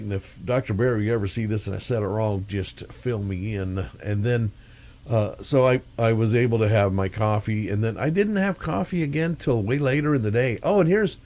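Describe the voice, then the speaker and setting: A man's voice: lowish voice, darkish tone. An older man talking casually in a meandering video diary, often searching for words. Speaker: vlogger. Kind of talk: casual rambling vlog talk.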